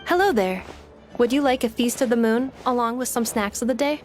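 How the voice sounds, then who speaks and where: A woman's voice: on the high side, fairly quick, faint music behind, close to an everyday voice. A woman playing a polite, kindly robot helper in a video game, formal, precise and serene. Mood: serene, helpful.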